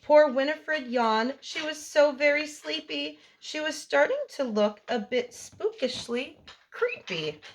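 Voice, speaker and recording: Woman, high voice, slow pace, children's read-aloud, sing-song storytelling. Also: animated, slight background noise. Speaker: storytime host reading a picture book aloud to children.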